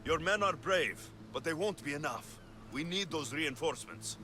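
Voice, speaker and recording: A man's voice: fairly high pitch, lively intonation, normal speed, music in the background, close to an everyday voice. A man voicing a confident young Greek mercenary hero in a game cutscene, talking in a cool, dry, self-assured way. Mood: steady. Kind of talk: video game cutscene acting. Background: orchestral score.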